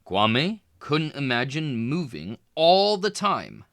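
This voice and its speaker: Male read-aloud narrator, expressive storybook delivery, bright voice, slow pace. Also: medium pitch, creaky, no room sound, clean studio sound. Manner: lively, expressive reading with small voice shifts for characters. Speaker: children's book reader.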